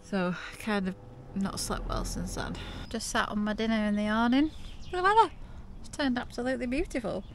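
Stuffy chatty woman vlogging in her van, little bit of gravel in her voice, faint hiss behind. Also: fairly high pitch, animated, moderate pace, few pauses. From United Kingdom. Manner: chatty, laughs often, coos at her dogs. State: stuffy nose and sore throat. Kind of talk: casual vlog chat to camera. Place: inside a small camper van and awning.

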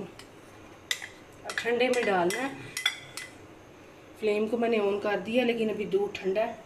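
A woman's voice: mid-range voice, background noise. A woman hosting a cooking channel, chatting viewers through a recipe step by step and often asking them to look. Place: home kitchen, indoors. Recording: home kitchen with utensil clatter. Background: clattering dishes and utensils.

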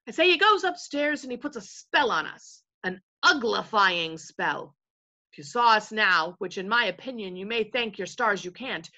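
A woman's voice: on the high side, pushed and bright. A woman voicing an invisible male chief of servants in a storybook, folksy, self-important and rambling as a storyteller.

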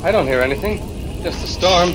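A folksy, good-natured sceptic in 1940s radio drama. His voice is fairly high and lively, on a noisy old recording. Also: fairly quick. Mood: puzzled, doubtful. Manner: folksy, colloquial. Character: plain-spoken, sceptical, good-natured local man.

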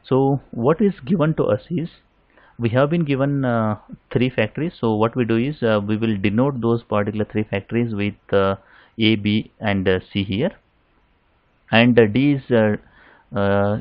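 A man lecturing over slides in a steady explaining manner, often pausing with "uh" fillers. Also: on the low side, no room sound, lo-fi sound.

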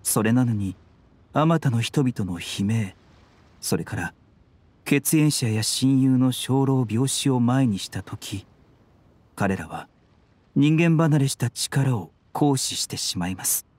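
An actor playing a serene, idealistic young male physician in a game, speaking in polite, measured, philosophical phrases. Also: medium pitch, animated, a little mellow. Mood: grave, reflective. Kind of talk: scripted game dialogue.

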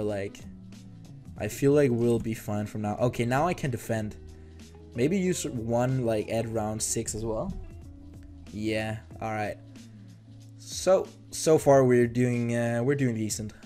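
Young Danish man, mellow gaming commentary. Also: mid-range voice. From Denmark. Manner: excitable, chatty, trails off and restarts. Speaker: gaming YouTuber. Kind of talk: casual gameplay commentary.

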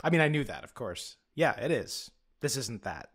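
A man with a mid-pitched voice talks as a player in a tabletop game. He is wry and jokey, rambling casually as he slips in and out of character. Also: big pitch swings, normal speed, fairly bright tone, slightly rough. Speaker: tabletop role-playing player.

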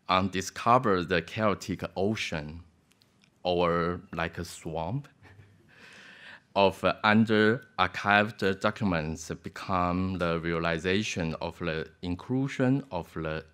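Slow, low-voiced man reading a paper. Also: fairly bright tone, clean tone. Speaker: conference panelist. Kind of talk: reading a prepared academic paper. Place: theater stage at a lectern. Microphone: lectern microphone.

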